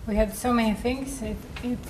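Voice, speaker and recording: A young woman from Russia, giving an earnest student testimonial with halting fillers. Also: a little high, steady tone, a little hiss or hum, poor audio. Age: young adult. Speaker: master's student. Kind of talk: testimonial interview.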